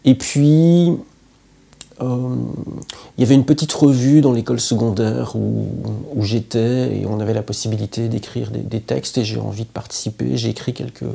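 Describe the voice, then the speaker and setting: A man, a poet and literature teacher speaking to camera in an interview, reflective and halting with frequent hesitations. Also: mid-range voice, steady tone, vocal fry, mellow, breathy edge, soft background music. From Belgium. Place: indoor room with plants, at home.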